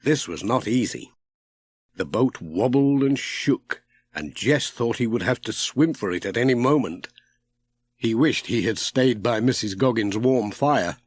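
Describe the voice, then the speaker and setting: A man narrating a children's audiobook in a measured, expressive storytelling style. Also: mid-range voice, slightly rough.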